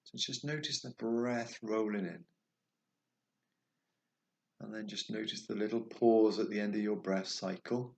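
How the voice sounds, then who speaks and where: A man guiding a meditation, speaking slowly and softly, at times dropping toward a whisper. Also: fairly low pitch, steady tone, creaky. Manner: slow, soft and soothing, trailing off toward a whisper. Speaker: meditation guide. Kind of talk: guided meditation.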